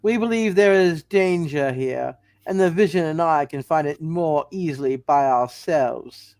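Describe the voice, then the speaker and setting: Man as formal, higher-voiced dutiful superheroine. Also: a little mellow, clear, resonant tone, no echo. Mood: polite, earnest. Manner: formal, measured.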